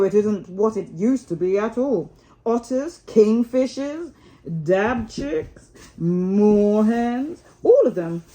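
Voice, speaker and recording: Woman reading as a chummy gentleman water rat, unhurried. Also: medium pitch, lightly acted, rough recording. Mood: patient, grumbling. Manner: chatty, assured, old-fashioned chummy. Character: worldly, good-natured male water rat, gentlemanly riverbank animal.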